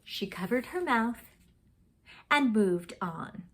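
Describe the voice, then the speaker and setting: A woman actress giving a dramatic reading of a fairy tale, expressive, with big swings in pitch and loudness and hushed quieter moments. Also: slow pace, noisy background.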